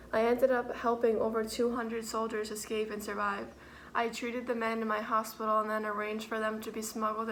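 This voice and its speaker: A woman's voice: a little high, a bit bright, slight background noise, close to an everyday voice, rough recording. A woman playing an earnest English nurse. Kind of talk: school project role-play interview.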